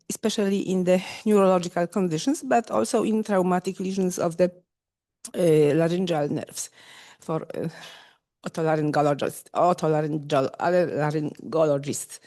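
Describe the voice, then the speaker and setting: Hoarse Polish woman, dark voice. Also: on the low side. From Poland. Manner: careful, searching for words. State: hoarse from a cold. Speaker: neurologist introducing herself.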